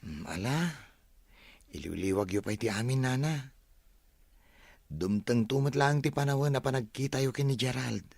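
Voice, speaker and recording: A gentle, sympathetic man of an Ilocano radio drama. His voice is gravelly and dry, close-sounding, with a reassuring, consoling delivery. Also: mid-range voice, moderate pace, long pauses, no echo.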